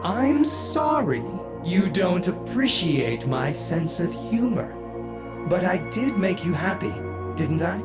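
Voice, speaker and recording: An actor playing a sardonic, morbidly playful son in a video game, with a theatrical, drawn-out delivery. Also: male voice, sits high, big pitch swings, over loud music, full character voice. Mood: mocking, gleeful. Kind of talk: dramatic video game character acting.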